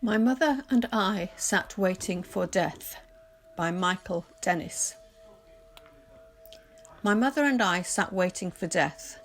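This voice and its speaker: A woman reading poetry aloud in a measured, literary recitation, deliberately pausing phrase by phrase. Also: medium pitch, lively intonation. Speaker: poetry reader. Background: music.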